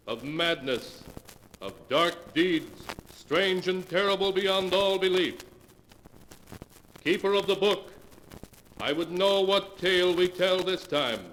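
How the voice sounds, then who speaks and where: An actor playing an ominous storyteller, narrating a horror anthology in a portentous, theatrical 1940s radio style. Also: male voice, sits high, slow pace, fairly bright tone. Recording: noisy old 1940s radio recording.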